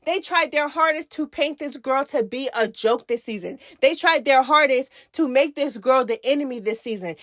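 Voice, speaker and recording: Woman commentator, high voice, very dry recording, casual and opinionated, emphatic slangy delivery. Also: a bit bright, dry recording. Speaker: reality TV recap host.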